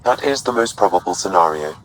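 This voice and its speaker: A man playing a calm, precise artificial intelligence in a video game, speaking formally and without emotion. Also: sits low, slight background noise, rough recording. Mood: calm, analytical. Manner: formal, measured, unemotional. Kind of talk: video game dialogue acting.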